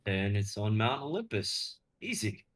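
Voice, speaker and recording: A man's voice: lowish voice, big pitch swings, measured pace, fairly bright tone. A young man playing a brusque, commanding underworld guide in a fantasy reading, with terse delivery. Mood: matter-of-fact. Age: young adult.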